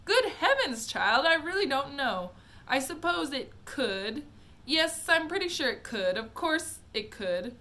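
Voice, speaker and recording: A woman voicing an eccentric, excitable male chocolate maker who talks theatrically. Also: fairly high pitch, unhurried. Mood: flustered. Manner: theatrical, exclamatory.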